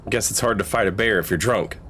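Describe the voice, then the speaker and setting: A man's voice: on the low side, fast talker, bright, forceful tone, slight background noise. A man doing a casual video game playthrough, reading his dialogue choices aloud.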